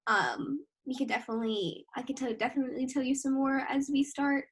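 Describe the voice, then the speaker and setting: Young woman co-host, high voice, hesitant and giggly. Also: fairly even intonation, fairly quick, slightly rough. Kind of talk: casual chat.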